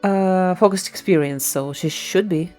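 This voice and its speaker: A woman's voice: on the low side, a little slow, a little mellow. A woman game streamer giving casual live commentary in a dry, teasing way. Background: game music.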